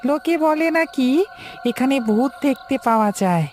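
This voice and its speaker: Woman voicing a slow, eerie male ghost. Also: high voice, expressive, a little mellow, slight background noise. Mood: sly, calm. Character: eerie male fish-eating ghost posing as a villager. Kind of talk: cartoon ghost story acting.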